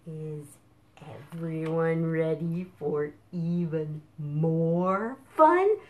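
A woman's voice: low voice, expressive, noisy background, pitched below the performer's own voice, exaggerated voice. Woman as silly singsong storybook cows. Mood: eager. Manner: drawn-out singsong cheers. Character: silly, eager cows wanting fun. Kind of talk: animated children's storybook reading.

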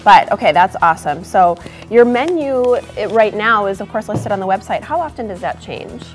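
A woman's voice: medium pitch, expressive, faint music behind. A young woman with an Upper Midwest American accent, hosting a cooking segment on a studio set, enthusiastic and gushing about the food. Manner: enthusiastic, gushing, quick to laugh. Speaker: show host. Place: indoor studio kitchen set.

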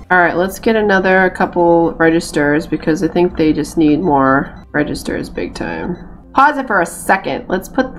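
Chatty Boston woman streaming a game. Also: lowish voice. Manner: chatty, exasperated, talks to herself while playing. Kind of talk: casual gameplay commentary. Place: small room indoors. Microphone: headset.

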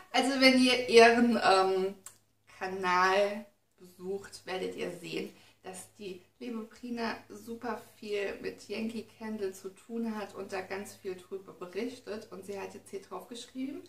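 Young woman vlogger, excitable and chatty, some vocal fry, recorded from a few feet away in a roomy living room. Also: medium pitch, unhurried. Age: young adult. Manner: chatty, excitable, reads notes aloud. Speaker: beauty vlogger. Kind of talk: casual unboxing chat. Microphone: camera mic from a few feet away. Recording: roomy, distant camera sound with faint noise.

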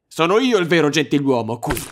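A man's voice: a little high, big pitch swings, fairly quick, noisy background. A man voicing a haughty, sneering cartoon character in a parody fan dub.

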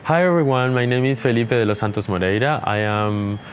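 A young man from Uruguay introducing himself as project director, friendly and halting, speaking outdoors into a lapel mic. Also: mid-range voice, a little hiss or hum. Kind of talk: short staff introduction to camera. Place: outdoors beside a house, wooded yard.

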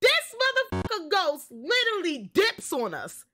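Very high, animated New woman. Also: measured pace, faint music behind. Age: young adult. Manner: expressive, slangy, sweary. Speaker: game streamer. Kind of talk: animated casual storytelling on a livestream.

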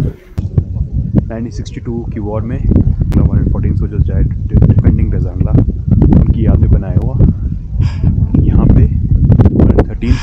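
A man's voice: lowish voice, heavy background noise. A man with an Indian accent giving casual on-location vlog commentary outdoors while filming, mixing Hindi and English. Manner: slow, unhurried, casual remarks while filming. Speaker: travel vlogger. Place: outdoors at a high-altitude lake. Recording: dull lo-fi outdoor camera audio.

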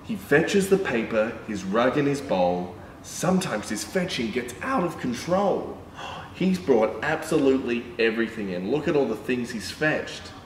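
A young man reading a picture book aloud to children as a storytime presenter, in an animated, exclaiming manner. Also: medium pitch, measured pace. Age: young adult. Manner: animated, exclaiming, with excited gasps and asides. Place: indoor room with painted backdrop. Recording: roomy, distant mic, background noise.

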